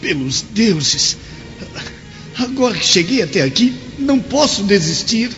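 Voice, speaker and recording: High-voiced desperate young Roman. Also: male voice, sing-song, a little slow, a bit bright, full character voice. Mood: strained, desperate. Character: young Roman man, exhausted but determined. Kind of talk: Brazilian radio drama acting. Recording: dull lo-fi, long reverb, music.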